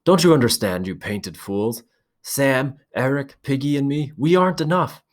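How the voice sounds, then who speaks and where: Storybook role: a determined boy leader, forceful and raised, read by a man. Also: fairly low pitch, expressive, normal speed, voice pushed up. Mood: insistent. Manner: forceful, insistent. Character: earnest, angry boy chief.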